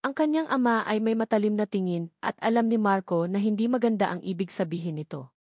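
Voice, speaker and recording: Female storyteller, Filipino accent, medium pitch, dramatic scripted narration, dry recording. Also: brisk, darkish tone, no room sound.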